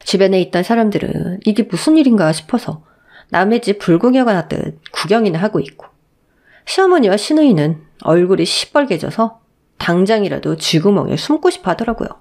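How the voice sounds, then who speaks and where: A woman's voice: mid-range voice, expressive, a little fast, darkish tone. A woman narrating a listener's story in the first person, in an indignant storytelling manner. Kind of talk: scripted narration of a reader's story.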